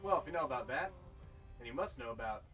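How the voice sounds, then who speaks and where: An actor playing a cool, self-reliant gunslinger drifter in a sci-fi western, wry and matter-of-fact, with music underneath. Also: male voice, mid-range voice, expressive, fast talker, natural voice, low-quality recording. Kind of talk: western-flavoured sci-fi drama acting.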